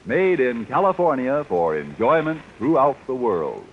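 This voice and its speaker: A man's voice: medium pitch, big pitch swings, unhurried. A man reading a scripted sponsor advertisement as a 1940s radio announcer, polished and formal. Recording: muffled, noisy vintage radio recording.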